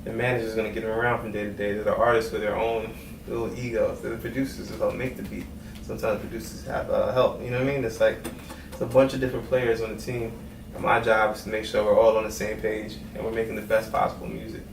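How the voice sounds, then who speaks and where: A man's voice: fairly low pitch, little pitch movement, fast, gravelly. A man from Trinidad and Tobago, raised in Brooklyn, a music executive talking casually in an interview with a laid-back manner. He is recorded from a distant mic in an echoey room with noise. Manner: laid-back, conversational, many "you know what I mean".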